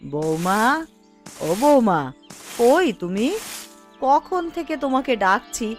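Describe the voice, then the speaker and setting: A woman's voice: fairly high pitch, very animated, takes it slowly, soft background music. A woman voicing a scolding, weary elderly mother-in-law in a cartoon. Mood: impatient. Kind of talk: cartoon voice acting.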